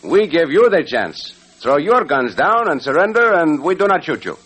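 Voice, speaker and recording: Gallant Mexican cowboy hero, very animated pitch, husky edge, confident and courtly, vintage radio drama. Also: male voice, moderate pace, full character voice, rough recording. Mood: cool challenge. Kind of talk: old-time radio western acting.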